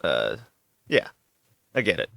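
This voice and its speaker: Bright-voiced joking podcast host. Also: male voice, low voice, huge pitch swings, slow pace, vocal fry, no room sound, studio quality. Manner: loose, joking, laughs often. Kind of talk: casual chat.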